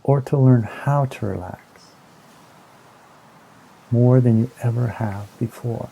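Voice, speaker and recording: A man leading a guided meditation in a slow, soothing, hypnotic manner, with long pauses between short phrases. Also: mid-range voice, gravelly, dark tone, lo-fi sound, slight background noise. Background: ambient new-age music with singing bowls.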